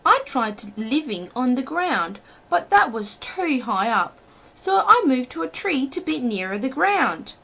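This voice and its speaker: Woman voicing an earnest, absurd storybook fellow, high-pitched. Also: sing-song, measured pace, vocal fry, a bit bright, full character voice. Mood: earnest, matter-of-fact. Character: cheerfully absurd, earnest male storybook character. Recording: dull lo-fi home recording.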